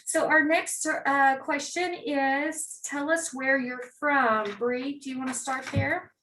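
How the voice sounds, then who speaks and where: Woman counselor hosting a panel from her office on a video call; slow, halting, high voice, set back from the mic. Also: steady tone, pushed and bright, far from the mic, a little hiss or hum, low-quality recording. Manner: slow, halting, many fillers. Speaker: counseling development specialist.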